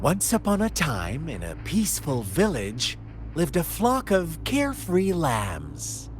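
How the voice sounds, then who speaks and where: A man's voice: fairly high pitch, huge pitch swings, takes it slowly, a little hiss or hum, heavily acted. An actor voicing a sly, mocking young male villain in a game cutscene, speaking in a theatrical, teasing, fable-telling manner. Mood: storytelling. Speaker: game antagonist. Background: game soundtrack music.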